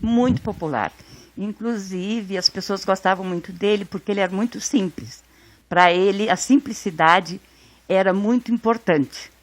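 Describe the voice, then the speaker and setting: Uma mulher do sul do Brasil relembrando o irmão numa entrevista, falando com calma e carinho. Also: female voice, medium pitch, moderate pace, rough recording. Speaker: interviewee, sister. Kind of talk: interview recollection.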